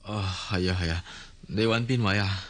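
An actor with a Hong Kong Cantonese accent playing an excitable, anxious young man, a loyal friend, in an animated radio drama style. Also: sits low, normal speed. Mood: sleepy, curious. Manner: animated, exclamatory. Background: loud music.

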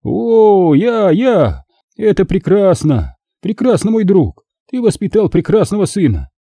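Man playing a booming grand master, pitched high. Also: very animated, a little slow, mellow, dry recording, heavily acted. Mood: delighted. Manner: ceremonious, emphatic oratory. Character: booming, proud grand master of a knightly order.

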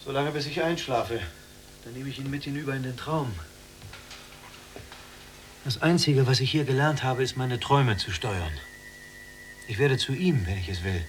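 An actor playing a restless, dreamy younger prisoner longing for escape in a radio play, plain and measured. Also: male voice, medium pitch, faint music behind, natural voice. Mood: dreamy, haunted. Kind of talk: literary radio play acting.

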